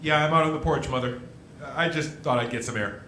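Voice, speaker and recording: An excitable small-town young man from an old-time radio drama, played by a man. His voice is on the high side and forceful, swinging with emphatic, halting speech. It rings in a live room with faint noise. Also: roomy sound. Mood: wry, restless. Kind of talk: live radio play acting in 1940s style.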